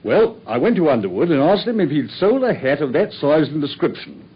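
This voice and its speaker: An actor playing a boastful, self-satisfied Victorian police inspector with a British accent, husky and excitable, in a radio drama. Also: male voice, on the high side, fairly quick, heavily acted. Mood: self-important recounting. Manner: pompous, excitable. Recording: muffled radio recording.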